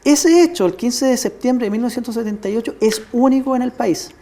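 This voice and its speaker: Chilean man with a mellow voice. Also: very high voice, brisk. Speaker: studio guest, military history commentator. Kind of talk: explanatory historical account in interview.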